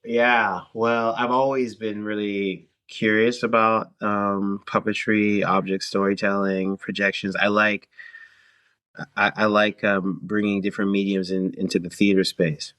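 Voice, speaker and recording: A man with a very deep voice speaks as a guest in a relaxed interview. He comes from Canada and thinks aloud in short, halting phrases. The sound is very dry, with next to no room in it. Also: no echo. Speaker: guest, singer-songwriter and theater performer. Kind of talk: casual interview conversation.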